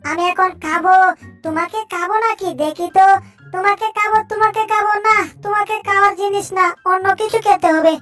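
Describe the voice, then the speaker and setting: An extremely high, sharp cartoon voice for a greedy little crocodile. It is childish and playful, sing-songing hungrily, with faint music behind it. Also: steady tone, a little fast. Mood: hungry, playful. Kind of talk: Bengali cartoon dub acting.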